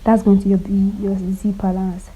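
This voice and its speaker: Soft-spoken woman with a cold, teaching sewing. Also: level tone, normal speed, slightly rough, poor audio. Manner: quiet, low-volume delivery; chatty, checks that viewers follow along. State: has a cold. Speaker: sewing tutor. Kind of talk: casual step-by-step sewing tutorial.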